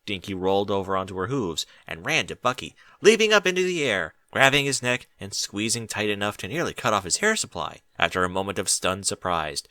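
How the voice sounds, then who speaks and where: Animated male storyteller, bright and forceful. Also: on the low side, a little fast, few pauses, no room sound. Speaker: audiobook narrator. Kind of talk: scripted story narration.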